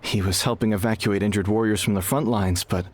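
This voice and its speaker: A man playing a calm, helpful warrior in a video game, talking in a measured, reassuring way. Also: on the low side, natural voice. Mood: sombre. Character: calm, helpful male warrior giving sombre information.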